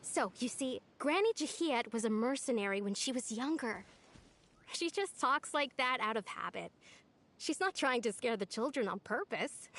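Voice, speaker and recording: A woman playing a gentle, hesitant young village woman in a video game, shy and thoughtful. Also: high-pitched. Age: young adult.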